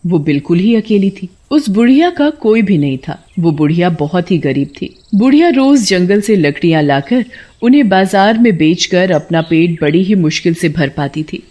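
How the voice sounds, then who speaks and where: Woman narrator with a lowish voice. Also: animated, moderate pace, dark tone. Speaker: storyteller. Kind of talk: scripted moral story narration for a cartoon.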